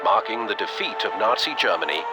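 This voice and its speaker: A man narrating a war history briefing in a solemn, measured, scripted documentary style. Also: lowish voice, lively intonation, natural voice. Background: music.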